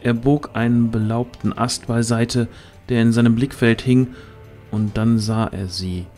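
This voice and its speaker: A man narrating a fantasy audio drama as scripted storytelling, in a deliberate, suspenseful manner. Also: mid-range voice, fairly even intonation, darkish tone, soft background music.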